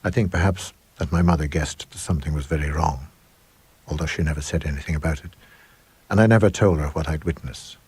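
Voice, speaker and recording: A middle-aged man narrating a supernatural tale as a scripted dramatic reading, measured and suspenseful. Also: very deep voice, a little mellow, slightly rough. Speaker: audiobook narrator.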